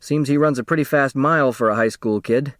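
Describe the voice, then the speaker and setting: A man voicing a businesslike newspaper researcher reporting over the telephone in a storybook, brisk and informal. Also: mid-range voice, a bit bright, no echo, studio quality, pitched above the performer's own voice, natural voice.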